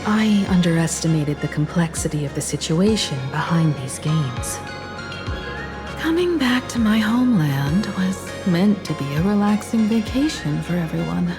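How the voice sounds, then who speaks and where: A woman voicing a calm, caring, mature mentor in a game, explaining things gently and with composure. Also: on the low side, moderate pace. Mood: calm, concerned. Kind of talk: measured expository game voice acting. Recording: music behind the voice.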